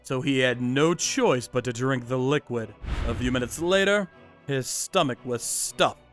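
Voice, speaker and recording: A man's voice: medium pitch, unhurried, soft background music, natural voice. A man voicing a cold, vengeful vigilante who narrates his revenge in a manga dub, menacing and mocking. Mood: cold, contemptuous. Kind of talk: first-person manga dub narration with acted dialogue.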